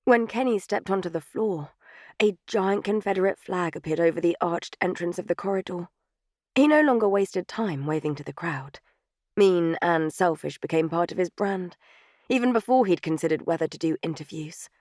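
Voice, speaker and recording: Female audiobook narrator: slightly low voice, scripted storytelling, close and dry studio sound. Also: expressive, normal speed, a little mellow, no echo. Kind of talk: scripted third-person fiction narration.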